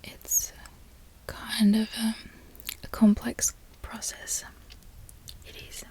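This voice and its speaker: A woman with an Australian accent, an ASMR creator softly whispering repeated trigger words. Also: on the high side, monotone, very slow, husky.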